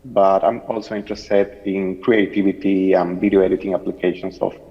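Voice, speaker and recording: A man's voice: deep voice, little pitch movement, brisk. A man from Colombia joining a conference panel remotely, speaking casually with many fillers. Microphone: remote call audio. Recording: dull remote call in a hall.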